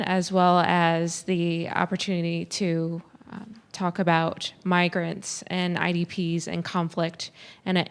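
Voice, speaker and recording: A woman's voice: fairly low pitch, smooth. A young woman in her twenties, a graduate student, earnestly asking a panel a question from the audience.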